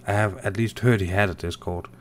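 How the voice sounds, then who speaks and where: A man from Denmark with a Danish accent, casually commenting on his gameplay while reading and answering viewer chat in a soft, unhurried way. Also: lowish voice, steady tone, slight background noise. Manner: soft-spoken and unhurried, muttering while playing. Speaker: gaming streamer.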